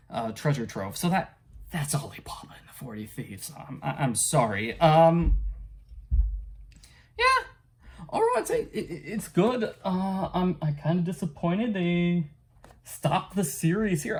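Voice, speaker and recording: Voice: medium pitch, a little mellow, husky. Casual vlog-style book reviewer, unscripted and hesitant, rambles and trails off with small chuckles.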